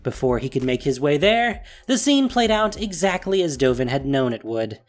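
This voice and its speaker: Narrator, male: dramatic scripted storytelling, swooping intonation, emphatic stresses, soft music behind. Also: medium pitch.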